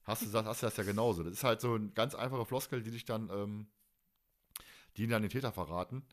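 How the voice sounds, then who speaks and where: A man's voice: on the low side, a little fast. Man in his forties, colloquial rambler. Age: 40s. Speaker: fan podcast host. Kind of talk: casual chat.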